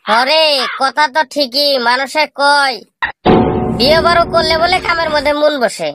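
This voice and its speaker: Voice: high voice, normal speed, loud music behind the voice, lightly acted. A performer voicing a jobless, boastful young male village slacker in a comic cartoon, grumbling in a rural Bangladeshi dialect. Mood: complaining.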